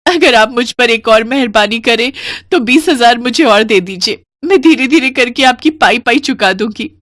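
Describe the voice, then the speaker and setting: Cartoon heroine, acted by a woman: humble young housemaid, kind, polite, emotional, high lively voice. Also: normal speed, no room sound, clean studio sound. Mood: pleading. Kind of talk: cartoon story acting.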